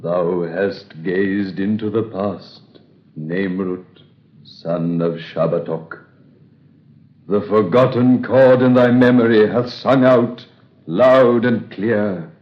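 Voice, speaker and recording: An actor playing an ominous mystic seer who speaks slowly in a 1930s radio drama. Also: male voice, fairly low pitch. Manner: slow, ominous. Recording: dull old radio recording, roomy.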